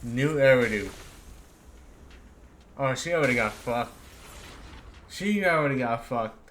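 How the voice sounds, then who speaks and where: A man's voice: medium pitch, expressive, unhurried, a bit bright. A man giving casual live commentary as a game streamer, informal, blunt and slangy. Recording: noisy stream with game sound behind.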